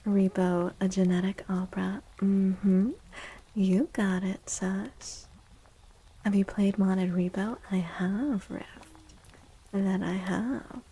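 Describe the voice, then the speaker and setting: A woman speaking softly and soothingly in a whispery, breathy voice, as an ASMR livestream host. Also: medium pitch, steady tone, rough recording. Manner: gentle, soothing, unhurried. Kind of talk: soft-spoken ASMR, tarot reading and chatting with viewers.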